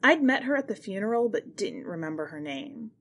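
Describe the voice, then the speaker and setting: Expressive woman narrator with very lively pitch. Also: a little fast. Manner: expressive, dramatic phrasing. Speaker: podcast host and fiction narrator. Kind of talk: scripted narration of literary short fiction.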